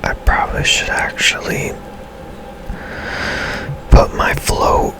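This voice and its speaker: Male ASMR gaming streamer; breathy whisper throughout, slow, musing play-by-play. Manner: unhurried, hushed musing. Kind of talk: whispered ASMR game commentary.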